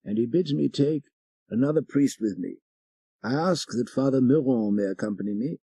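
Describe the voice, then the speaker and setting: A man voicing a stern, patient old French priest in an audiobook, speaking in a measured, deliberate way. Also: mid-range voice, dark tone, husky, no echo, rough recording. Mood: grave. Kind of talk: audiobook character dialogue.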